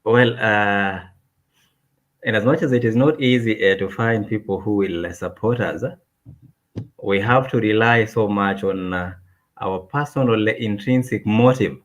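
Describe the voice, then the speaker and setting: Low-voiced male guest, halting and deliberate delivery. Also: dull audio. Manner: hesitant, many "uh" fillers, deliberate. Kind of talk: conversational interview.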